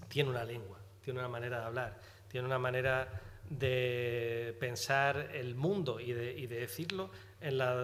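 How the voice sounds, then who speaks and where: A man's voice: mid-range voice, fairly bright tone. A man from Granada with a Granada Andalusian accent, explaining his points hesitantly with many fillers on a literary panel. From Granada, Spain. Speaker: panelist writer. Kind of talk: round-table discussion. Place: lecture hall at a public panel. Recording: reverberant hall.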